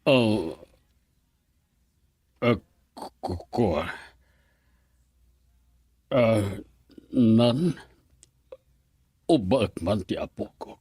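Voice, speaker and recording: An actor playing a hesitant older grandfather in a radio drama, speaking haltingly. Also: on the low side, lively intonation, darkish tone, slightly rough, no room sound, heavily acted. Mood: hesitant, moved.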